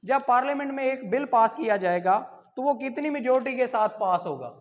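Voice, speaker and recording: A woman's voice: high-pitched, expressive, fairly quick. A woman with a Pakistani accent teaching like an exam tutor, reading out questions and explaining the answers in a didactic way. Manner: didactic, poses questions then answers them, repeats key points. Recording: muffled lo-fi sound, long hall-like reverb.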